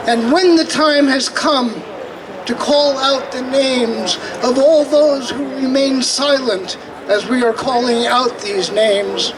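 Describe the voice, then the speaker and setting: Male poet, slow, measured, declamatory, high voice, off-mic with crowd and street noise. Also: fairly even intonation, recorded from a distance. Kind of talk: spoken-word poetry reading. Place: outdoor urban park rally.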